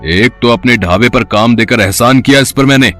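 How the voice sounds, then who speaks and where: A man's voice: mid-range voice, moderate pace, a bit bright, soft background music, natural voice. A man voicing a stern, grudging roadside eatery owner in a cartoon story, scolding and dismissive. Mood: angry, scornful. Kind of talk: acted cartoon story dialogue.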